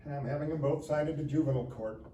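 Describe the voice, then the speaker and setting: A man's voice: a little high, fast, dark tone, lightly acted. Man playing a stern, fed-up school principal. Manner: authoritarian, exasperated. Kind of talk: live comedy screenplay table read. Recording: echoey room, distant mic, faint noise.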